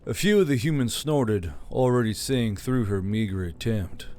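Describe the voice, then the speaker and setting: A man's voice: fairly low pitch, animated, normal speed, a little mellow. A man reading scripted fantasy fiction as an audiobook narrator.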